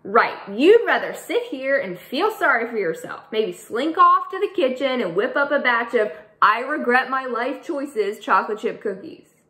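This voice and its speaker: A woman's voice: fairly high pitch, big pitch swings, normal speed, hardly any pauses, bright, forceful tone. A woman voicing a bossy, teasing preteen girl who is the narrator's best friend. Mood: pushy. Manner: teasing, pushy, dramatic. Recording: echoey room.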